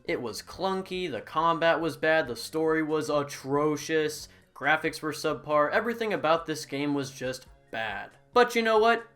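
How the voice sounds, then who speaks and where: A sarcastic game reviewer doing a comedic voice-over in a bright, forceful voice. The delivery is mocking throughout and keeps breaking into loud, high-pitched outbursts. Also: animated, moderate pace, hardly any pauses, soft background music.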